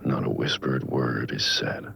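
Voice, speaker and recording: An actor playing a brooding middle-aged American vigilante in a video game, dictating case logs in a gravelly, measured, introspective voice. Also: male voice, steady tone, bright, forceful tone, exaggerated voice. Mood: measured, resolute. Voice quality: gravelly, low. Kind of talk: scripted audio-log monologue.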